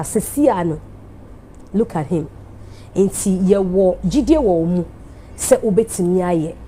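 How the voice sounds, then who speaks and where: A Ghanaian woman speaks with feeling as she looks back and thanks the people around her. Her tone is mellow and emphatic, and there is a faint hiss behind her voice. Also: medium pitch. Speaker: TV show host giving an anniversary speech. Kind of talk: emotional speech mixing English and Twi.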